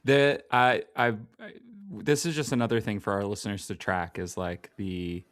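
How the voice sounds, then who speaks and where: A man's voice: lowish voice, fairly even intonation, normal speed, a bit bright. A man chatting casually as the co-host of a podcast. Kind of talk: casual chat.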